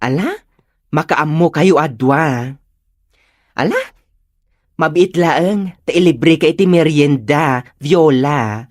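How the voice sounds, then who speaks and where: A woman's voice: deep voice, animated, slow, pauses often, darkish tone, no echo, studio quality, heavily acted. A woman playing an easygoing, agreeable friend who talks without hurry in an Ilocano comedy drama. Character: easygoing friend bargaining for a treat.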